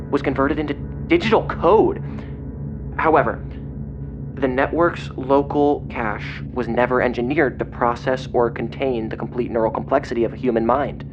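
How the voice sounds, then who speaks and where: A man playing an agitated investigator in an audio drama, speaking in a grave, halting, intense way over a phone. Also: medium pitch, natural voice. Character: agitated male investigator relaying grim secret documents by phone. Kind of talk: audio drama acting, partly reading documents aloud. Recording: dull lo-fi phone call, clear noise.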